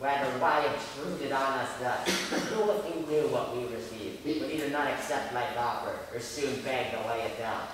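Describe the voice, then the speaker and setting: A man's voice: medium pitch, normal speed, hardly any pauses, natural voice. A man playing a solemn, grandiose speaker of tragic archaic verse in a radio drama, heard from far off in an echoey hall. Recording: echoey hall, distant, faint noise.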